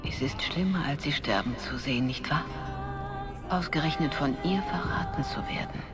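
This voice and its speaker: An actress playing a calm, solemn older woman mentor, speaking deliberately and hushed in a German fantasy game. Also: sits low. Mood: grave. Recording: clear music behind the voice.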